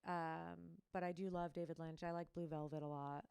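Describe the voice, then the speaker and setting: Smooth-voiced rambling woman guest. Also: deep voice, level tone, normal speed, a little mellow, dry recording. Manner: chatty, rambling with likes and ums. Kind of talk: casual chat.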